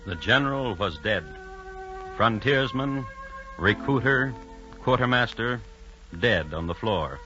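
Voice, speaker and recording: A man hosts a vintage radio crime series as a droll storyteller. His phrasing is measured and ironic, with lively rises and falls. The recording is dull and old, with clear music behind. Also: mid-range voice. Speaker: host and narrator of a crime series. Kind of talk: 1950s radio scripted storytelling.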